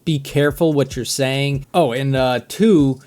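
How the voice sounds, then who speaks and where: A man's voice: mid-range voice, unhurried, a little mellow. A man with a mid-range voice hosts a music reaction video in native English. He talks in a casual, conversational way, reflecting on things as he goes. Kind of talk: casual reaction commentary to camera.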